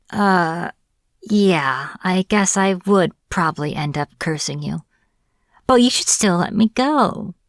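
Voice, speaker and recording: Slow, low-voiced sardonic witch acted by a woman. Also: no echo, studio quality. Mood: pleading. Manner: sarcastic, exasperated, informal. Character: captured sardonic witch, irritable, bargaining and defiant. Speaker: audio roleplay voice actor. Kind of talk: dramatic fantasy audio roleplay, addressed to the listener.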